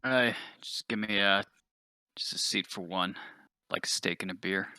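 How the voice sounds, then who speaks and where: A man's voice: low-pitched, measured pace, bright, forceful tone, clear, resonant tone, dry recording. A man giving brief, casual replies as a player in a tabletop game.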